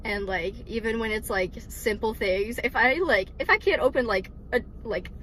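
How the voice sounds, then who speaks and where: A woman's voice: a little high, moderate pace, a bit bright, a little hiss or hum, poor audio. A woman in her twenties from Michigan, casually sharing her personal faith story with frequent laughs, ums and likes. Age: 20s. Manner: giggly, many ums and likes. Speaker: vlogger sharing testimony.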